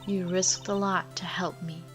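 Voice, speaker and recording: A woman playing a composed, caring mentor spirit in a game fandub, speaking in a calm, measured, firm way. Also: fairly low pitch, faint music behind. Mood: gentle, wistful. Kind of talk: fandub voice acting of a visual novel game.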